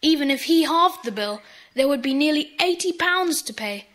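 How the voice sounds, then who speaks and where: A woman's voice: high-pitched, lively intonation, normal speed, a bit bright. A woman playing an earnest, polite British schoolboy in a children's audio drama. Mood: earnest, eager.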